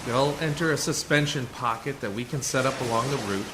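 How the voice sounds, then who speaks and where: A man playing a calm, assured undercover rescuer in a sci-fi radio play, speaking in a measured, explanatory way. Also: medium pitch, lightly acted. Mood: reassuring, matter-of-fact. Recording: noisy community radio drama recording.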